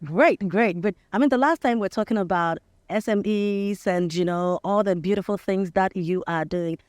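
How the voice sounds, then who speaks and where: A woman in her thirties with a Ghanaian accent presents a breakfast show interview. Her pitch is medium and her delivery is conversational. The sound is dry, with no room echo. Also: moderate pace, a little mellow. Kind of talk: chatty live interview. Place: outdoor terrace set.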